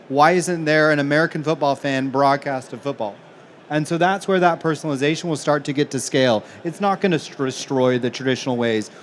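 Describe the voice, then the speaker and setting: A man from Manchester speaking as a panelist, making his case conversationally in a live discussion. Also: on the high side. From Manchester, England, now living in the US. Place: conference event panel. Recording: live panel with clear background noise.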